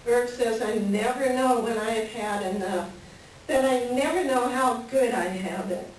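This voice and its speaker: A woman's voice: a little high, a little slow, close to an everyday voice. A woman playing a wistful, melancholy married woman in a staged play reading, with a reflective storytelling manner. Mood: subdued, pensive. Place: library reading before a microphone at some distance. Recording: very echoey room, distant mic, faint noise.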